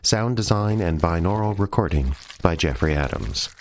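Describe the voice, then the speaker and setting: Deep-voiced man reading podcast credits. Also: lively intonation, normal speed, background noise. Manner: earnest, friendly appeal. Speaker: podcast announcer. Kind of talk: scripted announcement.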